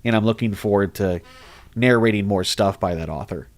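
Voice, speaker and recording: A man who narrates horror stories, chatting casually on a livestream, rambling and animated, with lots of restarts. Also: on the low side, normal speed. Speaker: horror story narrator and streamer. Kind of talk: casual livestream chat reading comments.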